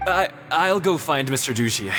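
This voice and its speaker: A man's voice: on the high side, lively intonation, moderate pace, over loud music, lightly acted. A man playing a stammering, nervous big guy. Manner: stammering, hesitant. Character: big, nervous, evasive male guard.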